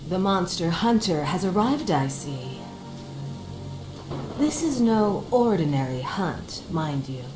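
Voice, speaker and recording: A woman voicing a composed, solemn leader giving a formal, measured briefing in a video game. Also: fairly low pitch, animated. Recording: roomy with music behind.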